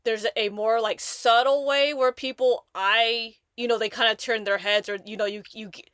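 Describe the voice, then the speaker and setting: A woman hosting a podcast, talking in an animated, rambling way with lots of restarts and fillers. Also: on the high side, normal speed, no room sound, studio quality. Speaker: podcast host. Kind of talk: casual conversation.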